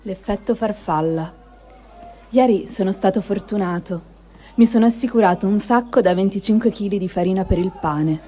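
A woman giving a measured, reflective reading of a first-person diary, in short phrases with pauses. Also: mid-range voice, mellow. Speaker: reader of a testimony.

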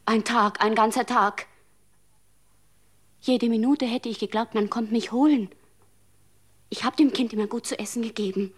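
An actress playing a grieving, honest mother who pleads and protests, moving from hushed whispers to raised insistence. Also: fairly high pitch, steady tone, normal speed, long pauses, breathy edge. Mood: pleading. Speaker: mother at a funeral. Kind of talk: radio drama acting.

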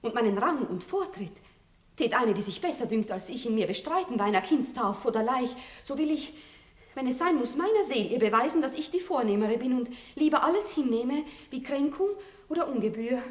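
A woman's voice: on the high side, lively intonation, a little fast, close to an everyday voice. An actress playing a naive, proud young Viennese bride-to-be in a radio play, girlish and earnest. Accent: Viennese Austrian. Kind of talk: 1960s radio play acting. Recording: old roomy radio studio recording.

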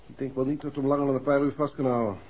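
An actor playing a matter-of-fact police officer in a 1960s radio drama, businesslike and slightly wry. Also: male voice, mid-range voice, flat delivery, rapid-fire, natural voice. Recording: muffled, noisy 1960s radio recording.

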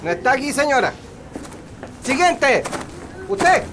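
An actor with a Chilean accent playing a curt, impatient military guard at a barracks gate in a radio drama. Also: male voice, extremely high pitch, very animated, slow, background noise, heavily acted. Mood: brisk, commanding. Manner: bossy, impatient.

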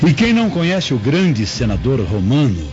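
A man's voice: mid-range voice, wildly swooping pitch, normal speed, darkish tone. A man playing a treacherous fruit merchant in a radio drama, with oily, servile politeness hiding menace. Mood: falsely obliging. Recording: dull lo-fi audio with music.

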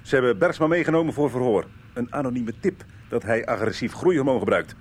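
A man's voice: medium pitch, lively intonation, fairly quick, natural voice. Pushy guy plotting in a radio soap, played by an actor, voice goes up and down, car noise behind. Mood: curt, suspicious. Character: pushy, uneasy male conspirator giving orders. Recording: noisy vehicle ambience behind dialogue.

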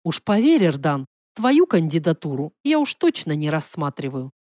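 A woman voicing a defiant, nervous young woman in an audiobook, anxious and emotional, with sharp retorts. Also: low voice, big pitch swings, moderate pace, dry recording, clean studio sound, voice pushed down. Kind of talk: audiobook character voice.

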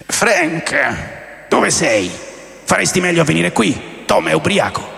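A man's voice: a little high, big pitch swings, slow, gravelly, fairly bright tone, in a large hall, pitched above the performer's own voice, exaggerated voice. A man playing an anxious lawyer who pleads and placates, conciliatory, in a radio drama. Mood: urgent. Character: anxious pleading male lawyer soothing a quarrel.

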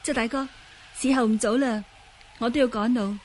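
Casting: actress for young Cantonese swordswoman, high voice, polite and spirited, radio drama delivery. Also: steady tone, moderate pace, darkish tone, a little hiss or hum, close to an everyday voice, poor audio. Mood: polite farewell.

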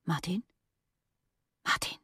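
A woman's voice: slow, pushed and bright, dry recording, clean studio sound. A woman playing an anxious, probing agent on the run in a radio drama, with a direct, questioning manner. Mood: uneasy. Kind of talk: naturalistic German radio drama dialogue. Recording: noisy, outdoor car sounds. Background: vehicle and street ambience.

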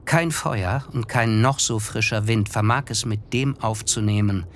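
An actor narrating a literary radio play as an observant, wistful friend, in a measured, contemplative manner. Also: male voice, lowish voice, a little hiss or hum, natural voice.

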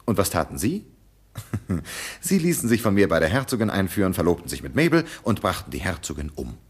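A confident, sharp-witted detective in a radio drama. He has a very low, slightly husky voice with lively swings in pitch. His delivery is dry and accusing. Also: brisk, heavily acted.

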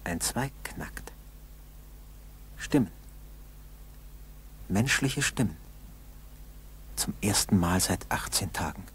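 A man narrating a scripted 1960s German school radio drama in a measured way with dramatic pauses. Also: sits low, lively intonation, fairly bright tone. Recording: noisy old 1960s radio recording.

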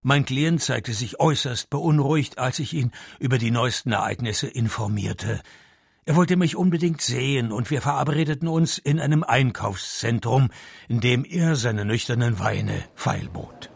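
World-weary radio-play detective, heavy gravel in the voice, deadpan irony, medium pitch. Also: male voice, normal speed. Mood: wry narration. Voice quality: gravelly, creaky. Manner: dry, deadpan, ironic. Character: sardonic, world-weary male private detective. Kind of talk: detective radio play acting and first-person narration.

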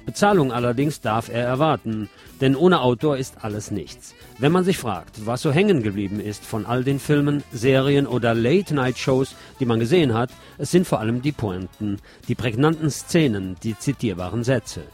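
A man's voice: mid-range voice, lively intonation, moderate pace, hardly any pauses, darkish tone, soft background music. A man presenting a radio magazine as host, reading scripted narration with personal asides.

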